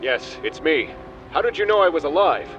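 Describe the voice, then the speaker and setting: A man's voice: fairly high pitch, big pitch swings, moderate pace. A man playing a pompous, brazen secret son of a dictator in a melodramatic comedy radio play. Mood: smug. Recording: dull lo-fi with music.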